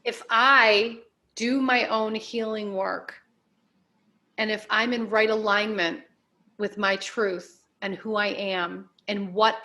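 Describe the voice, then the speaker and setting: A woman being interviewed as a life coach, giving advice in deliberate, emphatic phrases with measured repetition. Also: medium pitch, fairly even intonation, pushed and bright.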